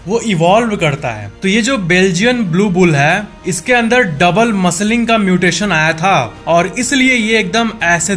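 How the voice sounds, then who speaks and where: A man with a high-pitched voice narrates an informal facts video over background music. He explains things conversationally, speaking directly to the viewer and restating his points as he goes. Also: fairly bright tone.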